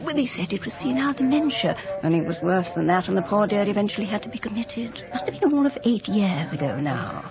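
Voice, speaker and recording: A woman's voice: mid-range voice, very animated, a little fast, hardly any pauses, crowd noise. An actress playing a well-meaning, motherly middle-aged society wife in a radio drama, chatting in a genteel, confiding voice. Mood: confiding, gossipy. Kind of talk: 1990s British radio drama acting.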